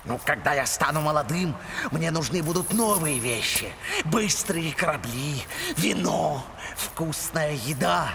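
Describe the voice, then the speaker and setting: An actor playing a scheming, theatrical three-hundred-year-old villain in an animated film, with pompous, drawn-out menace over music. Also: male voice, fairly high pitch, gravelly, background noise. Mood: gloating, suspicious.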